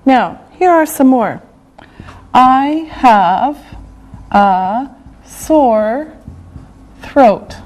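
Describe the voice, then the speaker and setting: A woman teaching a classroom vocabulary lesson, saying each phrase slowly and repeating it. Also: sits high, animated, a little mellow, faint music behind. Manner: slow, drawn-out repetition of words. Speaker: English teacher.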